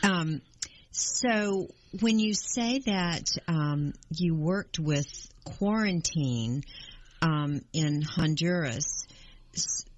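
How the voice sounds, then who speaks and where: A woman hosting a gardening radio show, asking her guest questions in a friendly, halting way with many fillers. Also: sits low, expressive, dull audio. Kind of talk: radio interview.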